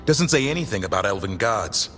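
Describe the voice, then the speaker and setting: Lowish, plain-spoken male warrior. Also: animated, a little fast, fairly bright tone, soft background music. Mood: wary, concerned. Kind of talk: fantasy game dialogue.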